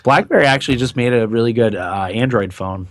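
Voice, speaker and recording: A man with a raspy, hoarse voice that is recovering, chatting casually and a bit haltingly as a podcast host. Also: medium pitch, dry recording. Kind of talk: casual chat.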